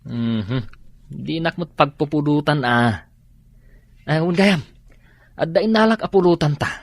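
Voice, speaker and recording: An easygoing, teasing buddy in a radio drama. He drawls slowly, with a very lively pitch that leaps around as he exclaims and pries. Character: nosy, joking, easygoing male buddy. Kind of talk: Ilocano radio drama acting.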